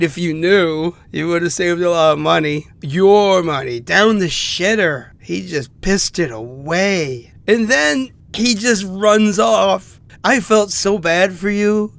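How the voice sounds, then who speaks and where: An actor playing a bitter, wounded ex-convict in an audio drama monologue, halting and wry as he confides. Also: high-pitched, vocal fry, a bit bright, exaggerated voice. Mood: rueful. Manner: halting, wry, muttering asides with chuckles.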